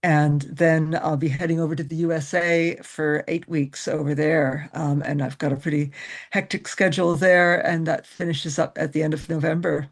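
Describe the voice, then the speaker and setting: A middle-aged woman from the US with an American accent softened by years in Ireland and England, chatting as a guest on a podcast, laughing often and restarting her sentences. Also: deep voice, little pitch movement, moderate pace. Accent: mixed American with Irish and West Country traces. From USA. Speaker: singer-songwriter guest. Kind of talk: casual interview chat.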